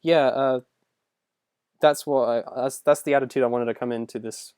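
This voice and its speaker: A man's voice: medium pitch, fairly even intonation, moderate pace, a little mellow, smooth, no echo. Young man, close dry sound. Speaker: animator and video creator answering viewer questions. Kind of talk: casual livestream Q&A, reading questions aloud.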